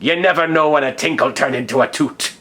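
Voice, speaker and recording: A man voicing a gruff old sea dog with a growly pirate drawl, in a comic story reading. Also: medium pitch, brisk, a crowd in the background, close to an everyday voice. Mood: salty, ominous. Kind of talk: comedic fan fiction reading.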